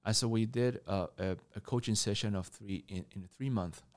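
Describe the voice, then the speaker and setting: A male interview guest with a fairly low voice tells stories in a conversational way. His delivery is halting, and he repeats words as he builds each sentence. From born in Taiwan, grew up in Argentina and Canada. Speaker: mentor and coach, podcast guest.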